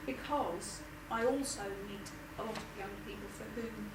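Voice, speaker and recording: A woman's voice: medium pitch, moderate pace. A woman on a discussion panel, talking earnestly and repeating words as she thinks aloud. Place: lecture hall at an arts venue. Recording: echoey hall, distant mic, noisy.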